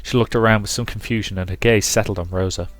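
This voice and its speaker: A man's voice: lowish voice, steady tone, fast, no echo, soft background music. A man reading a visual novel aloud as dramatic storybook narration for a let's play.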